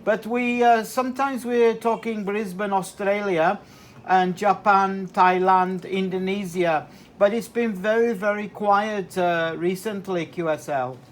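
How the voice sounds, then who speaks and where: A man speaking as an amateur radio operator in a casual, halting two-way chat full of radio jargon. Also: sits high, fairly even intonation.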